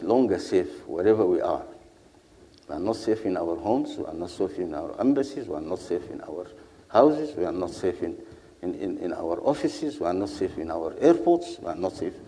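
Deep-voiced man speaking in a hall. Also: dark tone. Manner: earnest, rhetorical, repeats phrases in lists. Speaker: panelist. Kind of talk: formal panel speech. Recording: hall with long reverb.

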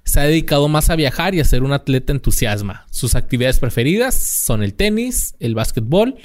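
A man's voice: mid-range voice, very animated, fairly quick. Laid-back Mexican man, slangy banter. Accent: Mexican Spanish. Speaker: podcast co-host. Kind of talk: casual chat.

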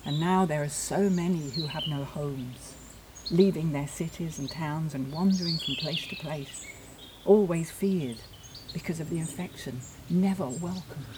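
A woman's voice: deep voice, a little slow. An actress playing a firm, sombre, matter-of-fact woman in a naturalistic audio play. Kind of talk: naturalistic audio drama acting. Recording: clear outdoor noise with clicks.

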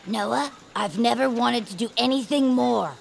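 A woman's voice: a little high, unhurried, vocal fry. A woman playing a quirky, earnest small creature, an inventor sidekick in a family audio comedy, intense and devoted. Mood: earnest, chatty.

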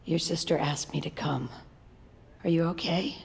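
An actress playing an anxious, protective middle-aged mother in an audio drama, hushed, soothing and earnest. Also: sits low, moderate pace, vocal fry. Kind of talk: naturalistic audio drama acting. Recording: long reverberant hall echo.

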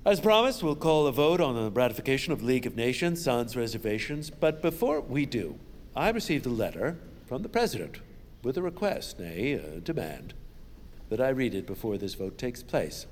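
A man's voice: mid-range voice, sing-song, moderate pace, close to an everyday voice. Man acting a smug senator with swooping delivery. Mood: smug, mocking. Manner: sarcastic, gloating, folksy showmanship. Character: smug, mocking American senator, majority leader, 1910s. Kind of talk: audio drama speech, theatrical letter reading. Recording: echoey senate hall, background noise.

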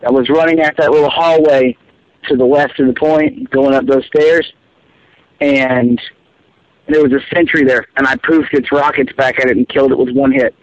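A man's voice: medium pitch, steady tone, normal speed. A man leaving an eager voicemail, telling the story of a play. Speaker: caller. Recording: dull lo-fi recording.

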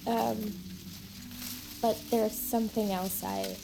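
A woman's voice: medium pitch, flat delivery, slow, vocal fry, noisy background. An actress playing a shy, hesitant teenage girl in a naturalistic audio drama. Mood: shy, nervous.

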